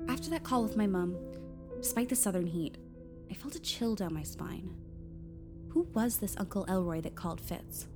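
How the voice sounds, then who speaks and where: A woman's voice: medium pitch, normal speed, close to an everyday voice. A woman playing an uneasy adult daughter who narrates a family mystery in a radio play, confiding and ominous. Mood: ominous, reflective. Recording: loud music under the voice.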